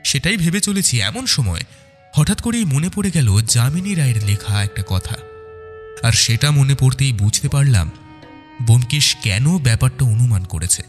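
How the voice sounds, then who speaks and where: A man's voice: medium pitch, very animated, moderate pace, long reverb tail, soft background music. A man narrating a detective audio story in a dramatic, scripted first-person style.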